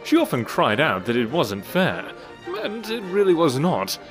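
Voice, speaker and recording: Animated male storyteller over music. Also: on the high side, a little slow. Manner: theatrical, amused. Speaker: narrator. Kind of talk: expressive scripted storybook narration.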